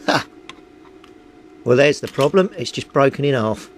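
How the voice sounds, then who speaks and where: A man's voice: expressive, measured pace. A middle-aged man narrates hands-on repair work informally, thinking aloud as he goes. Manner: thinks aloud while working. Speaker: hobbyist radio repairer and video maker. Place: indoor cluttered home workbench. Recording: rumbly background noise.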